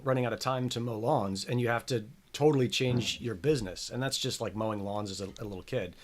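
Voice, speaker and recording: Male host, casual podcast chat, rambling, chatty, filler-heavy, mid-pitched. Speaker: show host.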